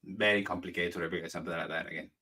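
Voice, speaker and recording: A man from Spain raised in the United States, a comic writer talking as a podcast guest in a friendly, halting way. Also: deep voice, steady tone, bright, forceful tone, distant mic. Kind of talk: casual interview chat.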